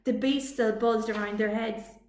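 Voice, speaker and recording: A woman reading a children's story aloud as a library storytime reader, with expressive delivery. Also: on the high side, slight background noise. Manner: slow, expressive read-aloud. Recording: echoey room.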